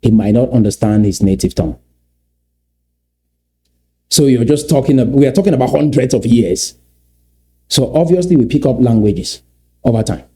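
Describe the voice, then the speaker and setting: Middle-aged man, Cameroonian English accent, warm low timbre, lecturing commentator who poses rhetorical questions. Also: lively intonation, normal speed, pauses often. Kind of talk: commentary on played clips.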